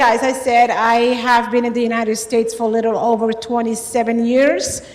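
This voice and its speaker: A woman on a panel stage, chatty and playful, laughing as she introduces herself. Her fairly high voice rings with a long, roomy hall reverb. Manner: chatty, joking, laughs often. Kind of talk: casual self-introduction. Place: university event hall, on stage. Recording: echoey hall.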